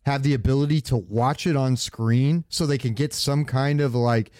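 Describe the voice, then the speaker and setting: A man's voice: mid-range voice, moderate pace, a little mellow, no room sound, clean studio sound. A man co-hosting a casual podcast chat, talking in a loose, rambling way. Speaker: podcast co-host. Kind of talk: casual chat about game voice acting.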